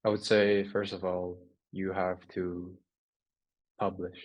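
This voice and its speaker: A man's voice: deep voice, level tone, slow, dull audio. A young man from Hong Kong, a native Cantonese speaker, chatting informally as an interview guest. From Hong Kong, moved to England at fourteen. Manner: informal, says "like" and "you know" often, some swearing. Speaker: podcast guest, writer and photographer. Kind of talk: casual interview chat.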